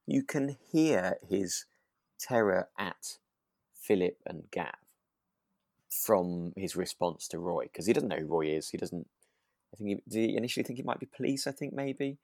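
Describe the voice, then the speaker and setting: A man co-hosting a podcast, chatting casually and feeling his way through thoughts with halting, repeated phrases. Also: deep voice, lively intonation, a little mellow, dry recording, clean studio sound. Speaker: podcast co-host. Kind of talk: relaxed casual chat.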